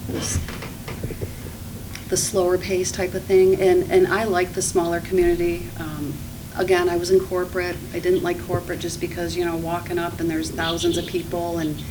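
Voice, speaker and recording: Earnest woman, distant mic. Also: lowish voice. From mid-Michigan. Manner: earnest, rambling with restarts. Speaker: job candidate. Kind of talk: interview answers. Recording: distant mic, clear background noise.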